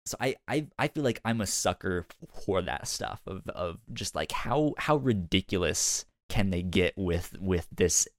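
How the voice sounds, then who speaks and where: Man chatting with very lively, swooping pitch. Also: no room sound, clean studio sound. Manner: halting, repeats words as thoughts form. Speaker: podcast co-host reviewing an audio drama. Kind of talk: casual chat.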